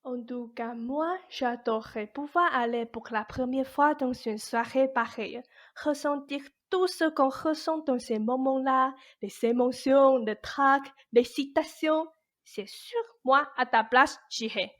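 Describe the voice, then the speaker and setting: Woman playing a high-voiced, slow, world-weary fairy godmother. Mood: coaxing. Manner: blunt, colloquial, world-weary. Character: jaded 874-year-old immortal fairy godmother, grumbling but mischievous. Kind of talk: stage-like radio play acting.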